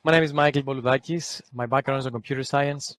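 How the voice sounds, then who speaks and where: A man who founded a company, calmly explaining his work as an interview guest. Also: mid-range voice, fast talker, dry recording.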